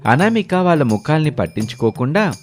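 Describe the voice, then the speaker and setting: A man's voice: a little high, very animated, fairly quick, soft background music. A man narrating a scripted moral story as its storyteller.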